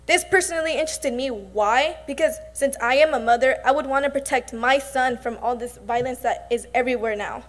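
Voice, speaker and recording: A young woman delivers a prepared talk as a student presenter. Her voice sits high, and her delivery is earnest, with a few halting pauses. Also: soft background music. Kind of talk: prepared speech.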